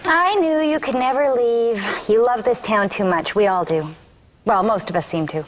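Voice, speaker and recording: An upbeat, supportive diner owner, played by a woman in small-town sitcom style. Her voice is fairly high, with slight noise in the room. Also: moderate pace, close to an everyday voice. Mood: affectionate, teasing. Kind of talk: sitcom script table read.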